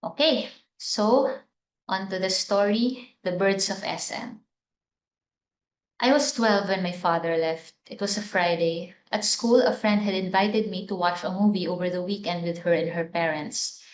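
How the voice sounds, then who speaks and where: A woman's voice: medium pitch, a little slow. A woman writer, reading her own prepared literary text aloud. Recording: echoey room sound.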